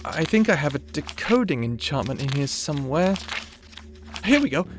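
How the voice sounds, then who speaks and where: A man's voice: on the high side, big pitch swings, normal speed, background noise. A man voicing a nervous, bookish young mage who stammers and speaks with hesitant earnestness in a fantasy audio drama. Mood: explaining, hesitant. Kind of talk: fantasy audio roleplay acting.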